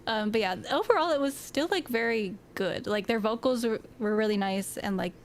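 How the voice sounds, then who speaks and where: A woman co-hosting a casual music review chat, giggling often and chiming in with quick agreement. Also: fairly high pitch, slight background noise. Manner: giggly, agreeable, short reactions. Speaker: co-host of music review show.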